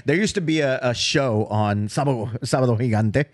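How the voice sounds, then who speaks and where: A man's voice: medium pitch, normal speed, dry recording, studio quality. A man co-hosting a podcast, telling stories in a casual comedic way and acting out the voices of people in them. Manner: animated, acts out voices in his stories. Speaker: podcast co-host.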